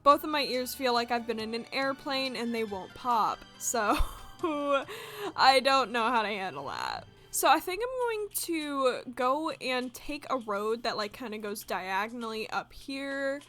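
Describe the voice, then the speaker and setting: A woman's voice: a little high, measured pace. Congested young woman chatting over game music. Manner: chatty, rambling, excitable. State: congested from allergies. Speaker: gaming video creator. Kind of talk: casual gameplay commentary. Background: soft game music.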